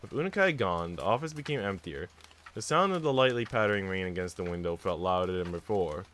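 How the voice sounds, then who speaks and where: Low-voiced, lively man streaming. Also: fairly quick. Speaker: live streamer reading a visual novel. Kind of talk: reading game text aloud and chatting casually on stream. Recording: noisy mic with typing sounds.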